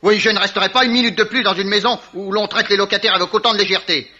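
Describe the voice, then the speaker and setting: An irascible, pompous man blusters quickly in a very sharp, forceful voice. The sound is that of a dull old radio play. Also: sits high, steady tone, heavily acted. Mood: indignant. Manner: blustering, emphatic. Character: indignant, irascible, pompous male lodger. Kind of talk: vintage French radio mystery acting.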